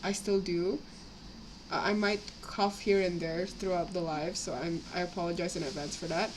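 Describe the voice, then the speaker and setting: A young woman with a slightly raspy voice from a cough, rambling casually as she chats on a live stream while building models. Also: on the low side, steady tone, moderate pace. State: sick with a cough, itchy throat. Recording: clear background noise, home livestream.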